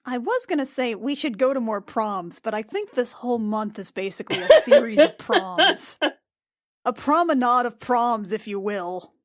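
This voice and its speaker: A woman's voice: a little high, lively intonation, normal speed, hardly any pauses. A woman playing a tabletop roleplaying game, chatting casually with the group.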